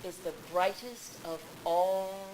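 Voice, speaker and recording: A woman storyteller reads aloud at a very unhurried pace. Her medium-pitched voice shapes each phrase deliberately and with emphasis. It is a live event recording with some background room noise. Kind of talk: dramatic storybook reading to a live audience. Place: public library event room.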